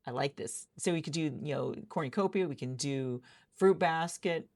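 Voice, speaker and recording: A woman with a low-pitched voice and plenty of vocal fry plays an interviewer in an ASMR roleplay. She speaks conversationally, feeling her way through sentences with halting, repeated phrases. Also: darkish tone.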